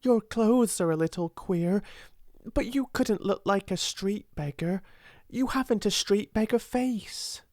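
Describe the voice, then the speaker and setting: A woman voicing a kind, timid, slow-witted young schoolgirl in a storybook, earnest and halting, often repeating words. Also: mid-range voice, a little mellow, dry recording, studio quality, voice pushed up, close to an everyday voice.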